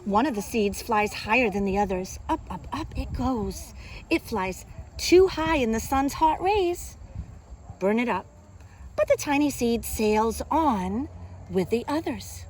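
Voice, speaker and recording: A woman reading a picture book aloud to young children as a kindergarten teacher, in an expressive, teacherly way. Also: fairly high pitch, unhurried, slight background noise. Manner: expressive, simple teacherly delivery with questions to young listeners.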